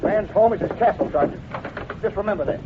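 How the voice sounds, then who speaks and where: An actor playing a shifty, stubborn, testy crook in a 1950s radio western, with curt frontier phrasing. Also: male voice, high voice, brisk, lightly acted. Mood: defiant. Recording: muffled vintage radio recording, light noise.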